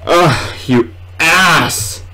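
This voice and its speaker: A young man giving casual, excitable commentary while playing a horror game, muttering and exclaiming to himself. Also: fairly high pitch, drawn-out words, faint music behind, poor audio. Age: young adult. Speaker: gamer recording a let's play. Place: small indoor room at home.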